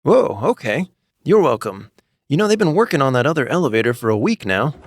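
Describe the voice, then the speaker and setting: A man voicing a slow, hard-of-hearing old man in a comic story. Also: medium pitch, big pitch swings, dry recording, clean studio sound, pitched above the performer's own voice, lightly acted. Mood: friendly.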